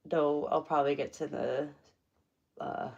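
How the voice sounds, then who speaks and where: A woman's voice: low-pitched, steady tone, measured pace, gravelly, darkish tone, highs cut off. A woman casually chatting on a live stream from her home, rambling with many fillers. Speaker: livestream host. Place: home room.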